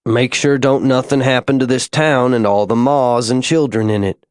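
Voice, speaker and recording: A man narrating an audiobook, voicing a tender frontier father holding back tears, folksy and gentle. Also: mid-range voice, fairly even intonation, normal speed, clear, resonant tone, no echo, studio quality, natural voice. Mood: tender, resolute. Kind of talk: audiobook character dialogue.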